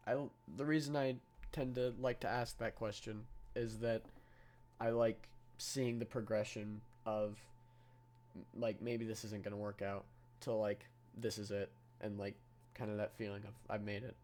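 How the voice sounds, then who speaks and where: Young male host, lowish voice, rambles through his questions. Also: little pitch movement, unhurried, a little mellow. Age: young adult. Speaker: interviewer. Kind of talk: casual interview over a call.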